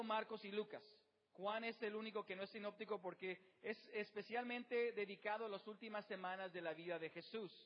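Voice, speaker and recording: A man's voice: high voice, long reverb tail, lo-fi sound. A man preaching a sermon as pastor, asking the congregation rhetorical questions and often using fillers.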